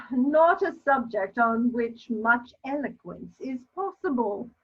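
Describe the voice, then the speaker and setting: A woman's voice: on the high side, animated, takes it slowly, husky, dull audio, full character voice. A woman playing an imperious, disapproving elderly society matron in a theatrical reading, with a haughty drawl and exclamations. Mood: disdainful, exasperated. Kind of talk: theatrical dramatic reading of a period comedy.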